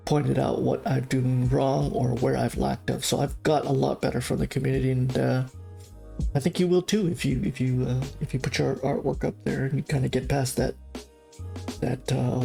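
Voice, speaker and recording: A man with a mid-range, creaky voice roughened by a sore throat from a cold. He hosts a livestream class in an informal, halting way, explaining as he goes. Faint music plays behind him. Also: mid-range voice, warm tone. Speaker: art class livestream host and instructor. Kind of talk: casual livestream instruction.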